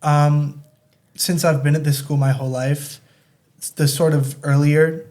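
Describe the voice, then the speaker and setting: Flat-voiced Spanish-born man, hesitant. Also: on the high side, a little slow. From Spain. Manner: hesitant, with many "um"s and "you know"s. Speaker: podcast guest. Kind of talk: casual chat.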